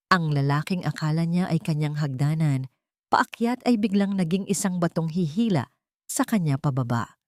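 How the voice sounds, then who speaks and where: A woman's voice: low voice, darkish tone, dry recording, studio quality. A Filipino woman narrating a scripted story in a dramatic storytelling style.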